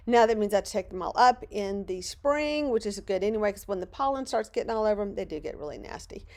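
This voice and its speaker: Hoarse woman chatting while crafting. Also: medium pitch, lively intonation, quick pace. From Louisiana. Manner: chatty, rambling, self-deprecating. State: hoarse, losing her voice. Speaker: craft channel host. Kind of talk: casual crafting narration. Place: cluttered home craft studio.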